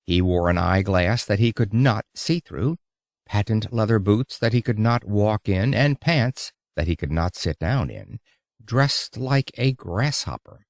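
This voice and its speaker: Animated male audiobook narrator, dry sound. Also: fairly low pitch, measured pace, no room sound. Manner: theatrical storytelling, emphatic. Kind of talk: expressive narration of an old-fashioned oratorical lecture.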